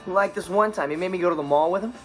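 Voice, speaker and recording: A man's voice: sits high, rapid-fire, faint music behind, natural voice. An actor playing a cocky, mischievous, self-centred teenage boy telling slangy stories in a sitcom monologue. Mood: smug, offhand. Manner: animated, offhand slangy storytelling.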